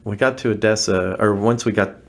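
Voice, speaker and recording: A man with a fairly low voice speaks as a podcast guest. He tells a long personal story earnestly, in a casual way, often restarting his sentences. He sounds middle-aged. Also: brisk, creaky. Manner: earnest, recounting events with many restarts. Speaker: interview guest, former missionary.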